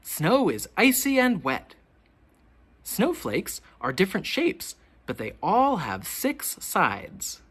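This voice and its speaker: A teacher reading a children's picture book aloud, with expressive, deliberate questions pitched to young children. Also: husky. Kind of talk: children's storybook read-aloud.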